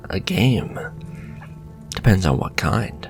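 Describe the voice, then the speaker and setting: A man's voice: low-pitched, animated, faint music behind, full character voice. A man playing a sly, sadistic, flirtatious warlock in an ASMR fantasy roleplay, murmuring breathily and taunting his listener. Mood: sly, intrigued. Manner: slow, taunting, breathy murmur with soft chuckles. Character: sly, sadistic, flirtatious male warlock striking a cruel bargain.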